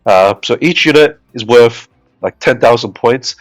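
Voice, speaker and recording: A man's voice: medium pitch, unhurried, dry recording, faint music behind. Nasal, cold-struck man giving game updates. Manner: rambling, many "uh" fillers and restarts. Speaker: gacha game video host. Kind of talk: casual game update commentary.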